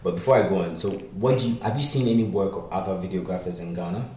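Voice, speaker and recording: A man's voice: low-pitched, steady tone, fast talker, warm tone, reverberant. A man hosting a casual podcast interview, prompting his guests with short replies and laughing easily. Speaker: podcast host.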